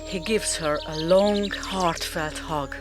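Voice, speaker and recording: A woman reads scripted radio drama narration. Her voice is on the low side and she takes a very slow pace. Music plays clearly behind her.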